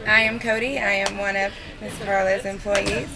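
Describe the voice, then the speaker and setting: Woman, from Oklahoma, medium pitch, casual interview guest, booth employee, busy indoor hall with crowd. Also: flat delivery, normal speed. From Shawnee, Oklahoma.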